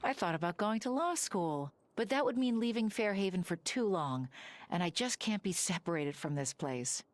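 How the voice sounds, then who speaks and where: A woman's voice: mid-range voice, lively intonation, normal speed, no room sound, lightly acted. Woman acting earnest villager, mid-range voice, dry studio sound, sincere, wistful, cozy game dialogue.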